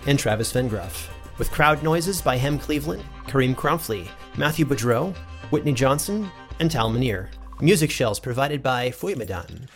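An actor playing a chatty, thoughtful teacher recording an in-character audio journal for a fantasy drama. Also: male voice, a little high. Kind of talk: conversational in-character monologue and credits.

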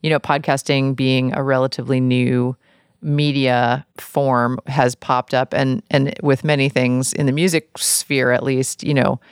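A woman's voice: a bass voice, clean tone, no echo, studio quality. A woman hosting an interview podcast, speaking as presenter and interviewer. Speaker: podcast host. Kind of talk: podcast interview and sponsor reads.

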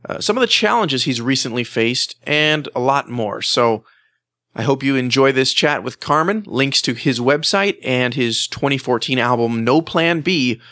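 A man's voice: medium pitch, lively intonation, normal speed, bright, forceful tone, no echo, clean studio sound. A man hosting a music magazine show, speaking as presenter and interviewer. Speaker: host and interviewer.

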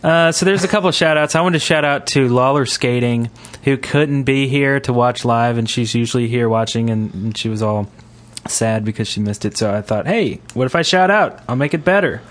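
A man hosting a podcast in a casual, chatty style, giving shout-outs to listeners. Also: medium pitch, normal speed, few pauses. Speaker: podcast host. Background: music.

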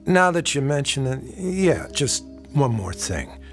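Dogged, wry police detective. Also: male voice, mid-range voice, sing-song, a little slow, faint music behind. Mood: insistent. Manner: folksy, probing, casually insinuating. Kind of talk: radio drama acting.

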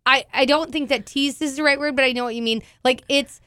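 A woman with a raspy, deep voice, chatting casually as podcast co-host, loud and quick to blurt things out. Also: fairly even intonation, dry recording. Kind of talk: casual chat. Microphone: studio mic with headphones.

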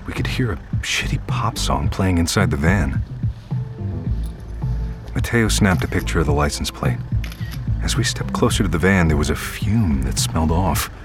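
Casting: troubled, earnest young guard, very low register, subdued delivery, music bed. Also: male voice, animated, normal speed, long pauses. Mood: sombre narration. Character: troubled young male night-shift lab security guard, earnest, anxious. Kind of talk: audio fiction acting and first-person narration.

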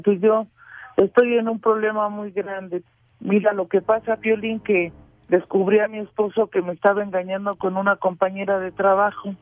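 A woman's voice: medium pitch, dry recording. A woman calling in to a radio show, pleading and distressed as she confesses her problem. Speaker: caller. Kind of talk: phone-in confession. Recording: tinny phone line.

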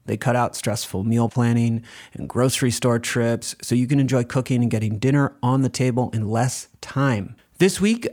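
A man, the creator of a show, delivering a scripted ad read to listeners. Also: medium pitch. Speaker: show creator reading a sponsor message.

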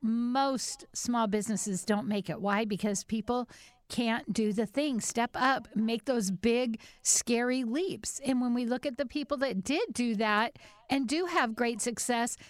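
Middle-aged female radio host, smooth, dry sound, earnest and conversational. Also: fairly high pitch, unhurried, dry recording. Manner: earnest, thinks aloud with restarts. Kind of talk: casual panel chat.

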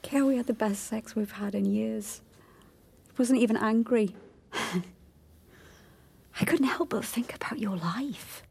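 A candid married woman in an audio drama. Her voice is slightly high and husky, with creaky edges. She talks casually and playfully, as if confiding. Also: slow, long pauses, a little mellow, heavily acted. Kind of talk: naturalistic audio drama dialogue.

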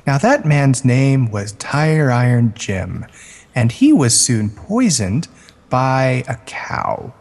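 A man co-hosting a podcast with deadpan, joking banter and storytelling. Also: medium pitch, slow pace. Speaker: podcast co-host. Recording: music playing behind the voice.

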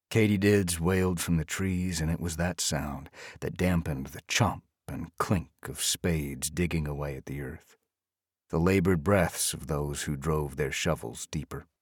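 Very deep, understated male audiobook narrator. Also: breathy edge, no room sound, clean studio sound. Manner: understated, restrained, assured.

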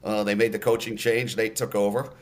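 A man's voice: lowish voice, flat delivery, fairly quick. Veteran male sports announcer reminiscing. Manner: storytelling, many fillers and restarts. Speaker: radio play-by-play announcer. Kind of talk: relaxed interview recollection.

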